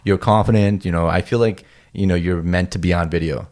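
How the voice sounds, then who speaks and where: Low-voiced male podcast host. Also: a little fast. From Toronto area, Canada. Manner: relaxed, frequent "um" and "you know", repeats words. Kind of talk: casual podcast chat. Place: podcast studio. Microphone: studio headphones and mic.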